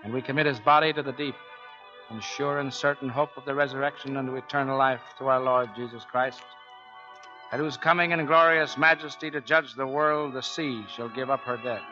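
A man's voice: mid-range voice, steady tone, fairly quick, music in the background, natural voice. A man playing a tough, commanding but caring navy chief in 1940s radio acting. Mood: solemn. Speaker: actor in a radio drama. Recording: dull 1940s radio broadcast recording.

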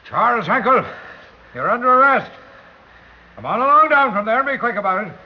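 An actor playing a brusque, bossy police sergeant with a husky voice in an old-time radio drama. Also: male voice, extremely high pitch, lively intonation, fairly quick. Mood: stern, impatient. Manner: curt, commanding. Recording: muffled old radio recording.

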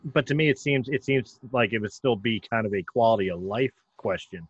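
A man's voice: mid-range voice, a little fast, husky, no echo. A man hosting a podcast, talking casually with frequent stammering restarts. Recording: dull, dry, slightly lo-fi.

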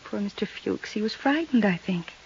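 Woman acting haunted widow, 50s radio play, wistful n hesitant, mid pitch, muffled hissy recording. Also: expressive, moderate pace, natural voice. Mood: hesitant, ashamed. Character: distraught, fragile widow haunted by a curse. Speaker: radio drama actress. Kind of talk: 1950s radio drama acting.

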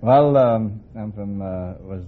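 A plain-spoken man from California giving halting answers as a quiz show contestant. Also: lowish voice. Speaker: construction worker, quiz contestant. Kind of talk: hesitant conversational interview answers. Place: radio studio before a live audience. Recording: tinny old radio recording.

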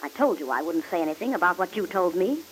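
Woman acting animated straight-talker on hissy old radio. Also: fairly low pitch, fast talker, natural voice, rough recording. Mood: firm, offended. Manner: blunt, folksy, firm. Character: Dell, brisk, straight-talking, big-hearted woman confidante.

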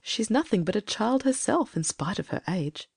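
A woman voicing a bitter, resentful woman in a storybook, with a gravelly creak. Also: mid-range voice, expressive, normal speed, no room sound, clean studio sound. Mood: pitying. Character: bitter, vindictive woman, pitying yet fiercely resentful. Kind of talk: storybook character dialogue.

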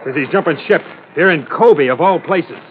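A man playing a rough, rattled sailor in a 1940s radio drama, blunt and slangy but deferential to his captain. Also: a little high, normal speed, over loud music, natural voice. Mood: agitated. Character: rattled, rough working-class male sailor reporting to captain. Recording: tinny, faintly noisy old radio recording.

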